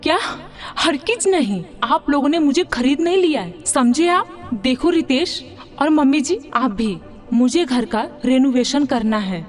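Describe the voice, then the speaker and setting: A woman plays a spoiled young city bride from a cartoon story. Her voice is very high, whiny and demanding, sprinkled with English words, over background music. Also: animated, a little slow. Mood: angry, demanding. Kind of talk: Hindi cartoon moral story dialogue.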